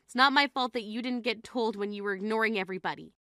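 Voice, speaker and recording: A woman's voice: a little high, quick pace, bright, forceful tone, dry recording, clean studio sound. A woman playing an exasperated, grieving sister who scolds in an incredulous, reproachful way in a dramatized story. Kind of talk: dramatized phone-call story dialogue.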